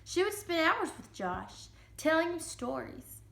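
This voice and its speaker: A woman giving an expressive dramatic reading of a children's storybook. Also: very high voice, unhurried, gravelly. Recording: roomy, echoing room sound.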